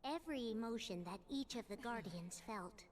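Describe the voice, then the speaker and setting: A woman playing a gentle, solemn guide in a video game cutscene, speaking earnestly and deliberately. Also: fairly high pitch, lively intonation. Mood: solemn, guiding. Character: gentle solemn guide advising a young hero.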